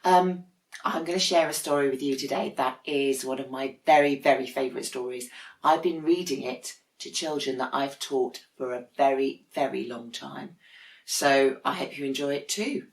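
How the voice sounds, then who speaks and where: A woman, a teacher, reading a children's storybook expressively with dramatic pauses in an echoey room. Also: very deep voice, fairly even intonation.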